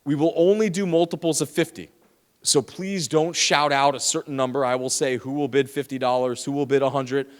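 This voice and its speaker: A man giving a lively, teasing lecture to students in a large hall, speaking into a handheld microphone. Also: medium pitch, a little fast. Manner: lively, teasing, talks straight to the audience. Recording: long hall reverb.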